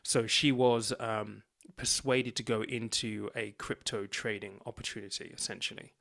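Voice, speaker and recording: A man's voice: lowish voice, steady tone, normal speed, vocal fry, pushed and bright. Man, from the UK, financial coach, earnest, storytelling, conversational. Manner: earnest, explanatory, acts out dialogue.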